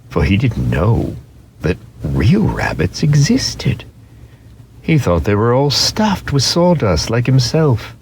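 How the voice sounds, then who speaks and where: A man with a low, deep voice narrates a storybook dramatically. He takes his time, with expressive, widely varied intonation. Faint ambient noise sits behind the voice. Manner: expressive, deliberate. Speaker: storybook reader.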